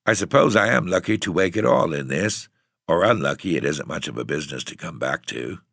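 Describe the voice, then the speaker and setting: A man voicing a weary, grim gentleman tramp who talks in a bitter, reflective way. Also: low-pitched, lively intonation, fairly quick, vocal fry, dry recording, clean studio sound, exaggerated voice.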